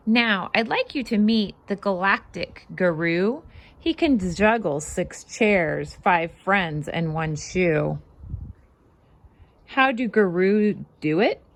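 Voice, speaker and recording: Animated woman reading to children, lively pitch. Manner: animated, sing-song rhyming delivery. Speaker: children's read-aloud host and fitness instructor. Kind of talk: expressive storybook read-aloud for children with casual asides.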